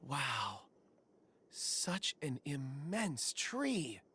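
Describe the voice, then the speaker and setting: A woman voicing a gentle young miner boy in a video game, thoughtful and hesitant in manner. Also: rumbling low, very animated, very slow, a little hiss or hum, exaggerated voice. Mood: calm, thoughtful. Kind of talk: video game character acting. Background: game music.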